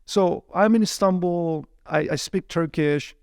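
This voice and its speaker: A man whose first language is Chinese, telling his story as a guest in a casual interview. He is lively and laughs often, with plenty of "you know" fillers. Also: high voice, a little slow, clear, resonant tone, no echo, clean studio sound.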